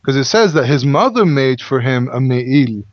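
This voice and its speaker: A man's voice: mid-range voice, expressive, moderate pace, no room sound. A man whose first language is Hebrew, explaining a Bible passage in a casual study talk and sometimes searching for English words. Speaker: Bible teacher. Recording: muffled, lo-fi audio.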